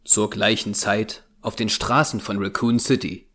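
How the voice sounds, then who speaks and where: A man's voice: on the low side, steady tone, unhurried, a bit bright. A man narrating an audio drama from a script.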